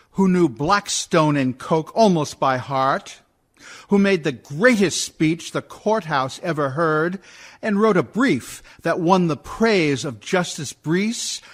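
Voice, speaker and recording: A man's voice: on the high side, a bit bright, heavily acted. A man acting a pompous dead judge, indignant and proud of his learning, in a rhetorical verse monologue for radio.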